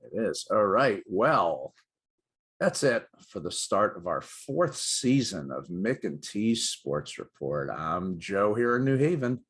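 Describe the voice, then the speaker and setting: A man's voice: fairly low pitch, big pitch swings, slow, fairly bright tone, breathy edge. A middle-aged man co-hosting a sports show with light-hearted banter and dry, self-deprecating jokes.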